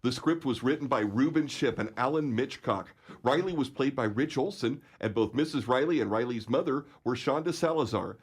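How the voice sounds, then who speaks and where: A man reading the closing credits as an announcer in a plain, even manner. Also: medium pitch, normal speed, few pauses, breathy edge.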